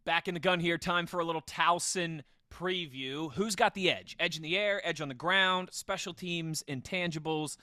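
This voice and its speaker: A man's voice: a little high, moderate pace, few pauses, bright, forceful tone, dry recording, studio quality. A man hosting a sports podcast in an informal, jokey way, moving through segments and banter. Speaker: podcast host.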